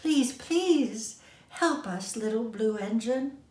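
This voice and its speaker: A woman's voice: a little high, animated, slow pace, mellow, echoey room. A woman voicing little dolls and toys from a children's storybook as they plead earnestly for help. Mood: pleading, urgent. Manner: earnest, imploring cries.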